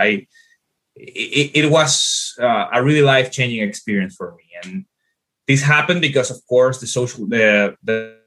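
Halting Venezuelan man with bright voice. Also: fairly low pitch. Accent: Venezuelan Spanish. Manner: halting, many fillers and restarts. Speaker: guest. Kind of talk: podcast interview answer.

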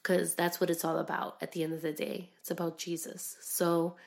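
Young woman podcast host, lower-than-average pitch, casual, sincere and effusive delivery. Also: completely flat delivery, normal speed, gravelly. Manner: effusive, earnest. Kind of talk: casual solo podcast talk.

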